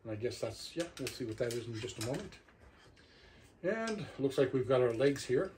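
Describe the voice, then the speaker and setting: A man's voice: medium pitch, very animated, normal speed, a little mellow. Middle-aged man reviewing gear, noisy recording. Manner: talks to himself while handling gear. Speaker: product reviewer. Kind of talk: casual unboxing and hands-on review. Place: indoor living room. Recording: clicks and clear background noise.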